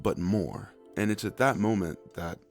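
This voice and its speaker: A man's voice: sits low, unhurried, loud music behind the voice. A man narrating a scripted comedic video review, with sarcastic, profane, conversational asides.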